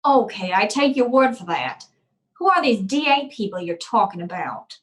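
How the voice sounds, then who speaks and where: A woman's voice: mid-range voice, lively intonation, normal speed, a bit bright, close to an everyday voice. A woman playing a tough, terse hardboiled private eye in an old-time radio detective play. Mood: cool, probing. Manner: terse, no-nonsense.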